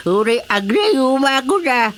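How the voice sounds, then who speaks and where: A woman playing a forgetful, giggly, doddering servant in an Ilocano comedy radio drama, halting and muddled, cackling as she goes. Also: high-pitched, slight background noise, full character voice.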